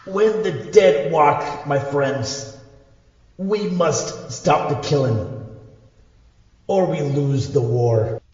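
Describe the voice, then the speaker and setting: A man voicing a weary, dying old priest in a radio play, weak and wheezing, in halting broken English. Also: fairly high pitch, darkish tone, very echoey, in a large hall, muffled recording. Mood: solemn, weary. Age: older. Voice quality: weak, wheezing, labored breath with coughs.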